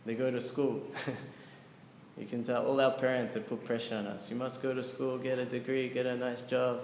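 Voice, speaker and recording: Man, scripture lecturer, earnest teaching tone, middle pitch, echoey hall, long reverb. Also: flat delivery, moderate pace, a little mellow, a little hiss or hum. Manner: earnest teaching, asks audience questions. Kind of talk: devotional class with chanting.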